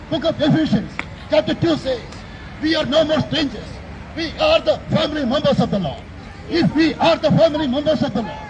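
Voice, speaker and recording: A middle-aged man preaching an emphatic open-air street sermon into a handheld microphone outdoors in a city plaza, repeating his declarations. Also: extremely high pitch. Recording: crowd and city noise outdoors.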